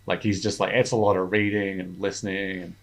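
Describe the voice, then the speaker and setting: A man's voice: deep voice, flat delivery, fairly quick. A young man chatting casually as a podcast guest, rambling with lots of filler words and restarts. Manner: rambling, many filler words and self-corrections. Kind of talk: casual chat.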